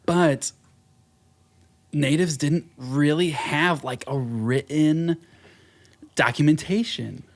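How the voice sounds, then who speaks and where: A man's voice: a little high, slow, long pauses. A man, a naturalist guest in casual chat, sarcastic and rambling with lots of "like.".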